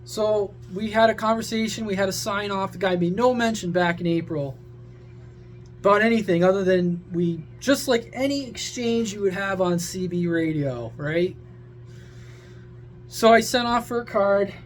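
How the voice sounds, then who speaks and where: Male vlogger, high voice, blunt rambling rant with casual swearing, noticeable hum behind. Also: moderate pace. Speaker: amateur radio vlogger. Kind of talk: casual opinionated rant to viewers.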